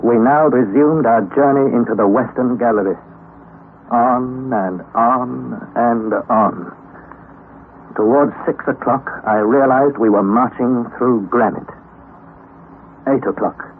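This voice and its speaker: An actor playing an anxious young nephew who narrates a perilous expedition in an earnest, theatrical old-time radio style. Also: male voice, medium pitch, slow pace. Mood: weary dread. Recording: tinny, noisy old radio recording.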